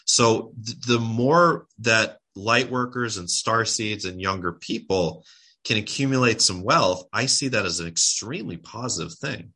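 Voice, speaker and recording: A man's voice: fairly low pitch, a little slow, pushed and bright, slightly rough. A tired man talking casually as a guest on a livestream, rambling and restarting his words with lots of fillers. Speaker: spiritual guest speaker.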